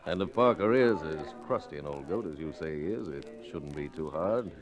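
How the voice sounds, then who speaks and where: A scheming American outlaw chief. The voice sits deep, with a sly and commanding delivery in the manner of old radio Westerns. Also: male voice, lively intonation, normal speed, crowd noise. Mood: sly, menacing. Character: scheming, cold-blooded male outlaw boss.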